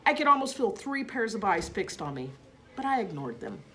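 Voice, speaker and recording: A woman's voice: medium pitch, sing-song, normal speed, fairly bright tone, voice pushed up. A woman voicing a clever, kindly, self-satisfied little classroom pet who narrates a storybook.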